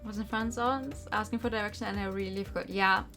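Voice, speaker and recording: Young woman streaming over soft music. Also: medium pitch, brisk. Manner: animated, informal, rambling asides. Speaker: streamer playing a visual novel. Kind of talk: read-aloud narration mixed with casual chat. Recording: music behind the voice. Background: background game music.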